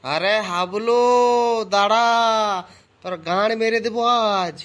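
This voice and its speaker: A man's voice: high voice, measured pace, a bit bright. A man voicing an angry, threatening father in an amateur comedy cartoon, scolding in a crude, blustering way. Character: angry, threatening father chasing his wayward son.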